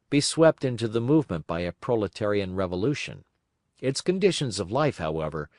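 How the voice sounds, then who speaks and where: A man reading a political text aloud as an audiobook narrator, phrasing deliberately with emphatic pauses. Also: on the low side, dry recording, clean studio sound. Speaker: audiobook reader.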